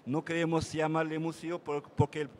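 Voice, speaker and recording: Halting man, many restarts. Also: on the high side, monotone, a little hiss or hum. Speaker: mayor. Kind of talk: conference panel talk. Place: conference panel.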